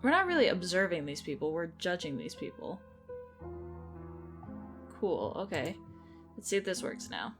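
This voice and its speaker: A woman's voice: fairly low pitch, wildly swooping pitch, normal speed, pauses often, over music. A woman gaming and commentating casually, chatting and thinking aloud as she plays. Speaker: game streamer.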